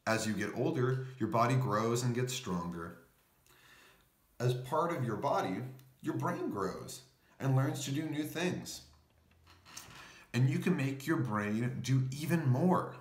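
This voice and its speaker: Man, storybook reader, very varied intonation, unhurried pace, dry close sound, explanatory. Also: medium pitch, animated, roomy sound. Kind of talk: children's picture book read-aloud.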